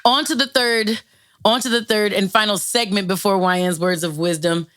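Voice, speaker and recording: A woman's voice: medium pitch, fairly even intonation, normal speed, a bit bright. A woman hosting a show, talking in a hype, playful way and swearing freely in casual chat. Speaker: host.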